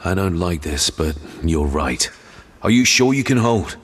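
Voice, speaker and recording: 무뚝뚝하고 현실적인 젊은 전사 영웅. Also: male voice, low voice, animated, measured pace, a bit bright, heavily acted. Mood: determined. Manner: plain, blunt, dryly direct. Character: young male warrior hero, blunt and practical. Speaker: game hero. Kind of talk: video game cutscene voice acting.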